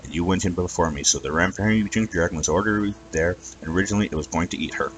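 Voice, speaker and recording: Cheeky young magical creature, read by a man, self-justifying and keen, low voice. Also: fast, dull audio, lightly acted. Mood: eager, defensive. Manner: eager, defensive. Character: cheeky young dream creature, eager, defensive child.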